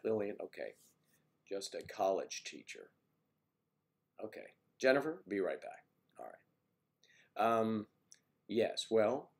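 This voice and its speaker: An older man with a congested, deep voice, chatting casually and teasingly on his morning livestream, greeting viewers by name. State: congested with a cold. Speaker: livestream host.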